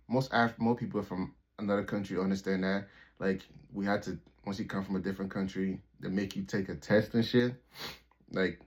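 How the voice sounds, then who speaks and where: A man in his twenties from Liberia, telling a personal story to his channel in a loose, rambling way with plenty of "like" and cursing. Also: fairly low pitch. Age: 20s. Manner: rambling, loose and profane, full of "like" and restarts. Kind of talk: casual storytelling vlog. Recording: dull lo-fi recording.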